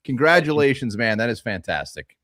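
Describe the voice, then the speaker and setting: A male co-host talks at a medium pitch in a casual, upbeat way. He jokes with his guests and cheers them on. His energy stays high through his lines. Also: big pitch swings, a little fast, background noise. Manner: upbeat, joking, hypes guests. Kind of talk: casual live show chat.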